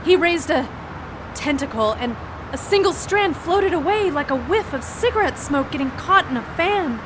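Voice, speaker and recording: An actress playing a haunted heroine, narrating an audio drama gravely and dramatically in the first person. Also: female voice, sits high, expressive, moderate pace. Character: haunted woman narrator fighting mind control. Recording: noisy crowd and outdoor din behind.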